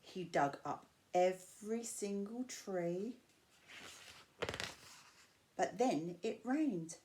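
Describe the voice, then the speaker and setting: A woman reading a rhyming picture book aloud to children, with measured, expressive phrasing and drawn-out key words. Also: medium pitch. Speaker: storyteller reading to school pupils. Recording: clear background noise.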